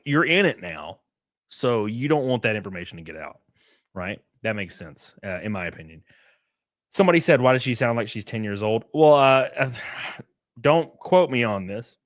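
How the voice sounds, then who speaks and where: Man, casual stream host, lively intonation, rambling, blunt slangy phrasing, dry sound. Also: mid-range voice, no echo. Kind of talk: casual off-the-cuff commentary.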